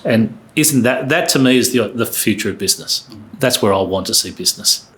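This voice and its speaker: A man's voice: medium pitch, expressive, fairly quick, a bit bright. A man talking as a podcast guest, explaining things earnestly and with emphasis in a conversational way. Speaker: podcast guest, company employee.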